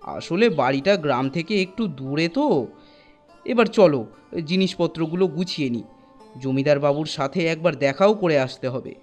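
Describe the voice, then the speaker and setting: A man voicing an affectionate father of a little girl, tender, patient and mildly firm, in an animated story. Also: on the high side, moderate pace, faint music behind, lightly acted. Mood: reassuring.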